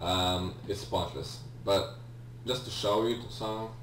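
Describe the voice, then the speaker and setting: A man's voice: on the low side, takes it slowly, a bit bright. A man giving casual video game commentary in a hesitant, rambling way, often correcting himself. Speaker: let's player, video game host. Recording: roomy, distant mic with faint hum.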